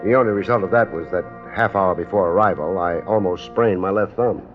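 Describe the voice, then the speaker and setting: An actor playing a wry, hard-boiled private investigator in a 1950s radio drama, with a dry, laconic delivery. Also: male voice, low-pitched, a little fast, close to an everyday voice. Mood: wry narration. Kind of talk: 1950s radio detective drama acting and narration. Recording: dull 1950s radio recording, music behind.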